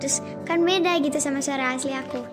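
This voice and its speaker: Young female actor, shrill, casual interview chat, clear music behind. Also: fast talker. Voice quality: shrill, piercing voice. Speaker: actor in a film.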